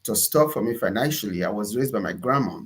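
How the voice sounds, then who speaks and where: A man's voice: on the low side, expressive, fast, a little hiss or hum. A man from Nigeria with a Nigerian accent, speaking as an interview guest in an emphatic, conversational storytelling way. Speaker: interview guest, entrepreneur and pastor.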